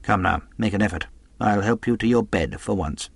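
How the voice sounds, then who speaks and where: A man voicing a stern doctor who gives blunt warnings, with a gravelly, husky voice. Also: lowish voice, moderate pace, dry recording. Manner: firm, warning. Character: stern male country doctor, firm and blunt.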